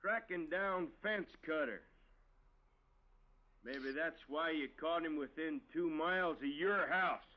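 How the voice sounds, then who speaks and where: An actor playing a bold, defiant homesteader in a 1950s radio western, speaking in an emphatic way. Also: male voice, fairly high pitch, takes it slowly, highs cut off. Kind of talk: 1950s radio drama acting.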